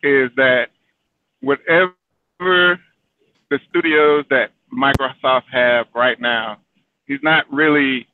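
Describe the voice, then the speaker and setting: A man in casual gaming chat, complaining in a measured way. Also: mid-range voice, a little hiss or hum. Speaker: podcast guest. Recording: tinny phone-like call audio.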